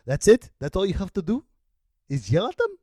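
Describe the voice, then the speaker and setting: A man hosting a podcast, ranting loudly and bursting into sudden yells. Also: high-pitched, wildly swooping pitch, brisk, breathy edge, no echo, studio quality. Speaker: podcast host. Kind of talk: opinion rant.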